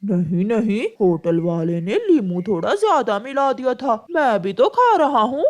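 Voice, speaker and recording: Someone voicing a slow, high-pitched miserly male crow. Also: sing-song, darkish tone. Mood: sly, pleased. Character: miserly sly male crow, a whining family father. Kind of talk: Hindi moral-story cartoon dialogue.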